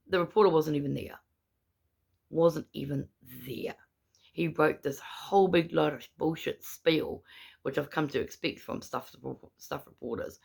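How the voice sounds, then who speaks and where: Brief: gravelly, husky-voiced speaker with a New Zealand accent, gummy without teeth, indignant protester monologue. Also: medium pitch. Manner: indignant, repetitive, emphatic. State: gummy speech, no dentures in. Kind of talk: angry ranting monologue.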